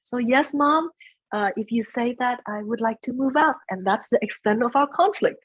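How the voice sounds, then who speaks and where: A soft-spoken middle-aged woman speaking as a guest in an interview, chatting about family, sometimes slipping into Vietnamese phrases. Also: a little high, normal speed, slightly rough. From United States. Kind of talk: conversational interview.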